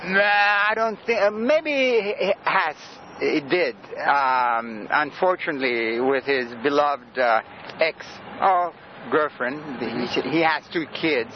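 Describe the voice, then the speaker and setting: A man's voice: a little high, lo-fi sound, background noise. Tired, rambling older man from Sudan. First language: Greek and Arabic. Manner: rambling, hesitant, laughs often. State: tired from lack of sleep. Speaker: interviewee. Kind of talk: casual street interview.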